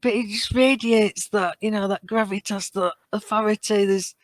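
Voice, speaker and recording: A woman with a medium-pitched voice in a very dry recording. She talks as a podcast guest, earnest and appreciative, feeling her way haltingly through casual fan chat. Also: steady tone, no room sound.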